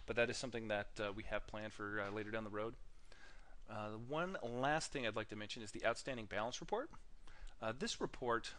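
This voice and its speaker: A man narrating a software tutorial in a casual, informal way, halting often with ums and uhs as he demonstrates. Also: mid-range voice.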